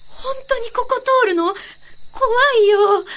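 A woman's voice: extremely high pitch, takes it slowly, a little hiss or hum. A woman playing a timid, girlish female college student in an amateur radio ghost drama. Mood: frightened.

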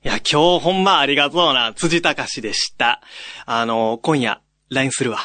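Young man, bright crisp-edged tone, dry studio sound, casual guest. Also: mid-range voice, moderate pace, no echo. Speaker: trainee voice actor guest.

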